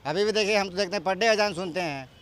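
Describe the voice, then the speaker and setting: A man's voice: sits high, quick pace, fairly bright tone, clean tone. A man with a Bihari accent debating on the street, plain-spoken and insistent, repeating his points. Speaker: member of the public.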